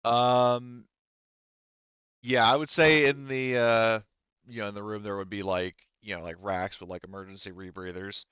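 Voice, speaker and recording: A man narrating a tabletop game as game master in a casual, halting, rambling, conversational way. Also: lowish voice, level tone, a bit bright, clear, resonant tone, no echo, muffled recording.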